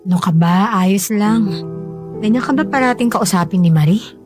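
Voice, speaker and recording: An actress with a Filipino accent playing a caring, generous girlfriend in a radio drama, speaking in a gentle, reassuring way. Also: medium pitch, normal speed, over music, close to an everyday voice. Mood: concerned, reassuring. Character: caring, generous girlfriend soothing her troubled lover.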